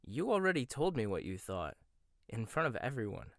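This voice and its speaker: A young man voicing a proud, teasing male chef in a boyfriend roleplay, talking softly and stammering when flustered. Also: mid-range voice, big pitch swings, normal speed, dry recording, studio quality. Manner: soft-spoken, teasing, gets flustered and stammers. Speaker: ASMR roleplay voice actor. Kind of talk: boyfriend ASMR roleplay, one-sided dialogue.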